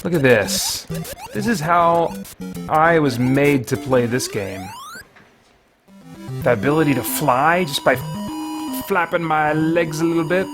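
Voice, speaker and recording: A man's voice: on the high side, animated, measured pace, long pauses. Rambling man over retro game music. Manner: rambling, thinking aloud. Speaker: host of a video series. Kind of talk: casual gameplay commentary. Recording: video game music behind the voice. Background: retro video game music.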